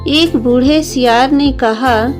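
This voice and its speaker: A woman with a high voice tells a children's story at a slow, deliberate pace. It is scripted narration, with music playing clearly behind her. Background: background music.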